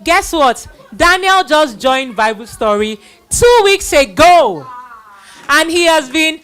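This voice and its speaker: A woman's voice: high voice, lively intonation, takes it slowly, fairly bright tone. A middle-aged woman hosting a live children's Bible event, introducing and addressing people in an exhorting manner with repeated phrases.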